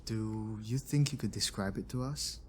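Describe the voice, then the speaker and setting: A man's voice: lowish voice, moderate pace, darkish tone, a little hiss or hum, natural voice. A man playing a kindly, protective engineer and inventor in an audio roleplay, talking in a casual, reassuring way. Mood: somber, gentle.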